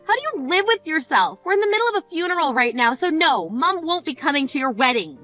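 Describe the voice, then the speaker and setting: A high-voiced, blunt sister in mourning, played by a woman, scolding her sibling with cutting disbelief. Her tone stays sharp and exasperated, over faint background music. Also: very animated, moderate pace, exaggerated voice. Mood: outraged. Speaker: sister at a funeral. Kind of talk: scripted dramatic dialogue.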